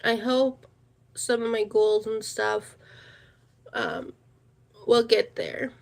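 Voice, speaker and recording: A woman's voice: on the high side, huge pitch swings, slow pace, creaky. A woman hosting a casual livestream, chatting in a rambling way and repeating her words. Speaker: livestream host.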